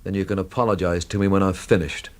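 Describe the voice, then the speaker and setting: A man's voice: low voice, little pitch movement, fast, lightly acted. An actor playing a wry, brooding, hard-drinking man who narrates and talks with dry, literate sarcasm in a radio drama. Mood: dry, defiant. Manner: dry, sardonic, literate phrasing. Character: world-weary, wry hard-drinking male storyteller, brooding and sardonic.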